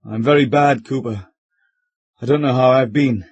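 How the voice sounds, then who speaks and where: A man's voice: medium pitch, steady tone, normal speed, a little mellow, breathy edge, no echo, muffled recording. A man reading aloud voices a male country squire who talks bluntly, in a with rustic turns of speech. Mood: frightened. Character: male country squire, gruff, hard-drinking, frightened.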